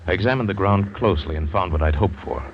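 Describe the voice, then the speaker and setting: A man's voice: low-pitched, fast, slight background noise, natural voice. An actor playing a shipwrecked American big-game hunter who narrates his ordeal dramatically in an old-time radio drama. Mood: suspenseful. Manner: dramatic, vivid storytelling. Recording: dull vintage radio recording, music behind.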